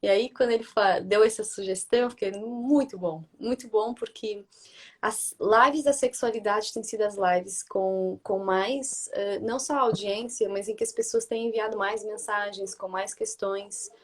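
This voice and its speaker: A woman's voice: medium pitch, measured pace. A woman hosting a casual live stream who speaks with a European Portuguese accent. She talks in a chatty, friendly way and often ends her phrases with "né?". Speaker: host.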